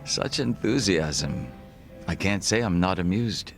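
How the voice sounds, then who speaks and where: An actor playing a composed, formal gangster second-in-command in a video game cutscene, measured and reserved. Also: male voice, sits low, expressive, vocal fry, soft background music, full character voice. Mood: dryly composed.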